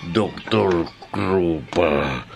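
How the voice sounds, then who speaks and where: A man playing an evil doctor's deferential henchman in a horror radio drama, halting and servile, with a low, creaky voice. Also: music in the background, heavily acted. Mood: obedient.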